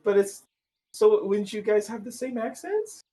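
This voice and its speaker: A man's voice: high-pitched, sing-song, measured pace, dark tone. A young man with a Californian accent, chatting casually and laughing often. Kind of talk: casual chat on a livestream.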